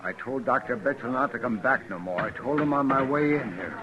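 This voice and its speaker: A man's voice: mid-range voice, a little fast, a crowd in the background, close to an everyday voice. A man playing a stubborn, worried rancher with a folksy rural Western American accent in a radio drama. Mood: urgent. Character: rough, plain-spoken rancher, worried and stubborn. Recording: dull vintage radio recording.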